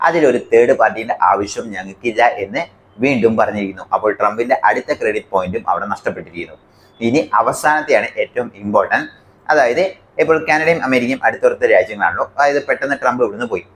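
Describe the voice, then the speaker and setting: A man's voice: fairly low pitch, a bit bright, a little hiss or hum. A man from India with a Malayali accent explains the news to viewers as a commentary host, emphatic and point by point.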